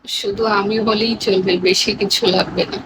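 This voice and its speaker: A woman's voice: mid-range voice, fairly even intonation, normal speed, background noise. A woman with a Bangladeshi accent chatting casually with live stream viewers in a playful, teasing manner.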